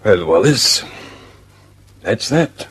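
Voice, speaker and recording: An actor playing an affable senior colleague in a mid-century radio drama, conversational, a little faltering and mildly skeptical. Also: male voice, fairly low pitch, sing-song, drawn-out words, fairly bright tone, a little hiss or hum, exaggerated voice. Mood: bemused.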